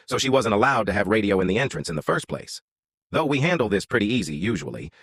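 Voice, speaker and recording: A man's voice: lowish voice, dry recording. A man reading out an online story as a scripted narration.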